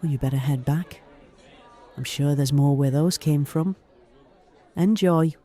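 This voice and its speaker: Male actor doing a police guv'nor in an audio drama, soft dark tone, higher side, clipped and bossy. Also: measured pace, a faint crowd, close to an everyday voice. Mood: brisk. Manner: clipped, authoritative. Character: brisk, methodical male senior police detective in charge. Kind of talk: naturalistic audio drama dialogue.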